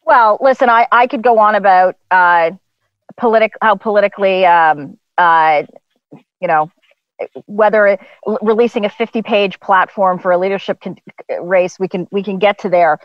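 A woman on a political panel over a video call, arguing briskly with many restarts. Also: medium pitch, no room sound, highs cut off.

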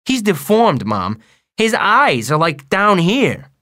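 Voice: medium pitch, huge pitch swings, takes it slowly, pushed and bright, pitched above the performer's own voice, heavily acted. A narrator playing a blunt, defensive schoolboy with casual, emphatic kid talk in an audiobook. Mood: insistent. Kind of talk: audiobook first-person character voice.

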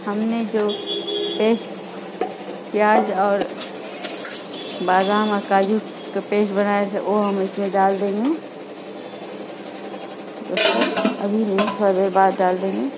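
A woman hosting a home cooking video, calmly explaining each step to her viewers in a home kitchen. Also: medium pitch, takes it slowly, long pauses. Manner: calm, instructive, addresses viewers as friends. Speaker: cooking channel host. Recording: loud kitchen noise. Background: frying sizzle and stirring pots.

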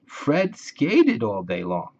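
Male narrator for kids' picture book, unhurried and very lively pitch, slightly dull lo-fi recording. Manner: slow, deliberate, expressive phrasing for young listeners. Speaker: children's book reader. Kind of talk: storybook read-aloud narration for children.

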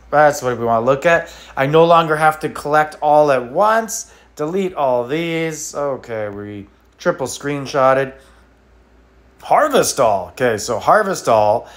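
A man hosting a mobile game channel, chatting casually and thinking aloud as he taps through menus. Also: medium pitch. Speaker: gaming channel host.

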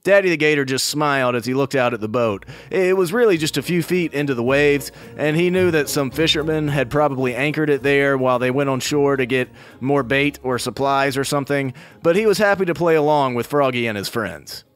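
A man's voice: medium pitch, brisk, hardly any pauses, soft background music. A man narrating for children as a storyteller, in a casual style with wry asides. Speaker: children's storyteller and show creator. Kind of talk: scripted story narration for kids.

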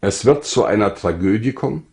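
An older man narrating his own life story from a script in a measured, formal way. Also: on the low side, expressive, darkish tone.

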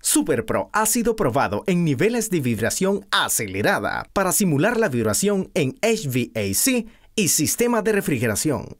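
Narrator doing a product how-to script, kinda stiff reading but pitch goes all over. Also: very animated, normal speed. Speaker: instructional video narrator.

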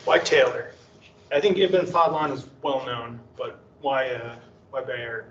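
A man's voice: mid-range voice, steady tone, a little slow, a bit bright. A man in the audience asking thoughtful, rambling questions about a research talk. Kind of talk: academic question and answer. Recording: distant, echoey, dull, faint hiss.

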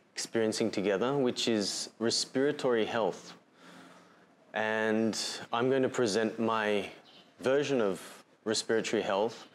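A man with an Australian accent and a somewhat low voice explains health topics in a measured, patient way. He sits indoors with a clip-on mic, and faint room ambience sits under his speech. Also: a little hiss or hum. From Bali, Indonesia, and Australia. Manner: measured, calm explanatory delivery with pauses and fillers. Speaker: holistic health practitioner presenting a talk. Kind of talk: livestreamed health talk to camera. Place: indoor room with shelf and framed photos. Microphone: lapel mic, seated facing camera.

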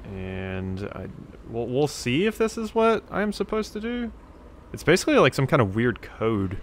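A man's voice: medium pitch, wildly swooping pitch, moderate pace, slight background noise. A man giving casual game commentary, musing to himself as he works things out. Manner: thinking aloud, musing to himself. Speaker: let's play host.

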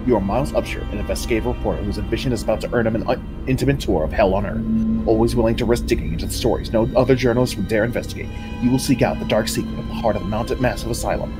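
Male narrator, lowish voice, dramatic read of game text, music underneath. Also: racing. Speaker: co-host reading game text aloud.

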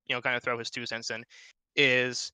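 A man's voice: medium pitch, little pitch movement, normal speed, pushed and bright, smooth, dry recording, rough recording. A man chatting casually in an online panel, rambling and joking at his own expense as he asks a question. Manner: rambling, jokey, self-deprecating. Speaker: audience member asking a question. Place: remote voice chat.

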